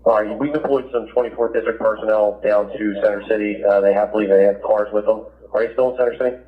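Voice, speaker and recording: Rapid-talking policeman over tinny radio. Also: lowish voice, fairly even intonation. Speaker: police field officer.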